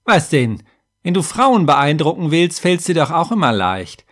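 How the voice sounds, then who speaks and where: A man's voice: fairly high pitch, very animated, unhurried, a bit bright, voice pushed up, full character voice. Man putting on a snappish, cynical little half-gnome. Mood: sarcastic. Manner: growling, snappish, sarcastic. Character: small cynical half-gnome axe thrower, big-mouthed, grumpy.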